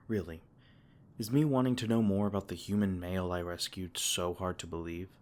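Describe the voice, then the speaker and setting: A man voicing a gentle, protective male fae king in an ASMR comfort roleplay, soft-spoken and tender, stumbling over his words now and then. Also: sits low, steady tone, normal speed, close to an everyday voice. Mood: tender, soothing.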